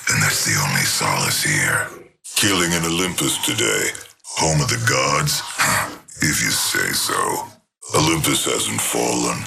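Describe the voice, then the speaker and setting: A man voicing a menacing, mocking killer robot for a video game, with a gravelly voice. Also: exaggerated voice. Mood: sardonic menace. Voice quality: gravelly, very deep. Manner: slow, menacing, dryly mocking. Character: menacing, sardonic killer robot who relishes slaughter. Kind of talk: video game character lines.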